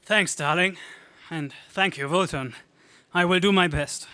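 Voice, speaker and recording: An actor playing an eager, earnest young space hero in a radio serial. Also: male voice, high-pitched, a little slow, pushed and bright, clear, resonant tone. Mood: grateful. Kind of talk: 1930s space serial radio play acting.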